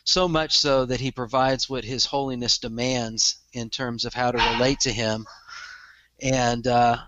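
A man's voice: medium pitch, steady tone, measured pace, lo-fi sound, noisy background. A middle-aged man with a Texan accent, a podcast guest chatting casually and chuckling often as he talks. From Texas. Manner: easygoing, chuckles often, repeats words. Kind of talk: casual interview chat.

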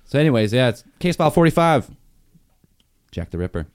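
A man's voice: medium pitch, big pitch swings, brisk, a little mellow. A man co-hosting a podcast in casual, joking banter, swearing freely and laughing along. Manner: laid-back, swears casually, laughs often. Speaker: podcast co-host.

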